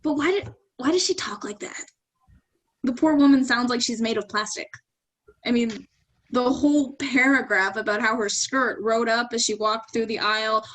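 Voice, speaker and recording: Woman acting as sardonic female critic, blunt, dry sarcasm, higher-pitched voice, play-reading dialogue. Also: a bit bright, close to an everyday voice, low-quality recording. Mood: sardonic, incredulous. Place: remote virtual staged reading.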